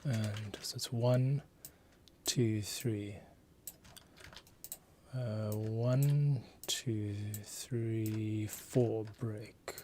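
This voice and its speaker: Slow-paced man muttering through his work. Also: lowish voice, fairly even intonation, dark tone, slight background noise. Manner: muttering to himself, counting beats aloud. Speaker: composer, host. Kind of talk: casual working commentary while scoring.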